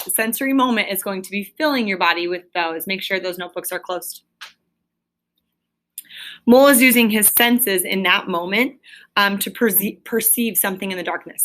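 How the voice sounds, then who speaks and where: A woman's voice: medium pitch, bright, forceful tone, low-quality recording. A woman teacher reading a story aloud and explaining it to pupils, with an emphatic, questioning delivery.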